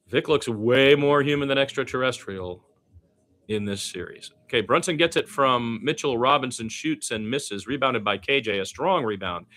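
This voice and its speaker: A man's voice: medium pitch, sharp tone. A man doing informal solo basketball play-by-play commentary, conversational and given to chatty asides.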